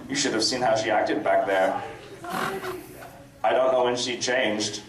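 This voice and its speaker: A man's voice: mid-range voice, steady tone, unhurried, close to an everyday voice. A man playing a grumbling, suspicious, blunt young heroine in a live comic dramatic reading. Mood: indignant. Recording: echoey convention room, distant, crowd noise.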